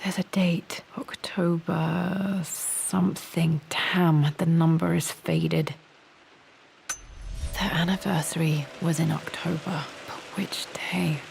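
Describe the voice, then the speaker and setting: Young British female adventurer, hushed, creaky, unhurried, pensive, game cutscene, ambient noise behind. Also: fairly low pitch, steady tone, exaggerated voice, low-quality recording. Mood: pensive, searching. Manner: hushed, breathy murmuring to herself. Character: young adult woman explorer, pensive, searching her childhood home. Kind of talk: video game cutscene acting, musing to herself.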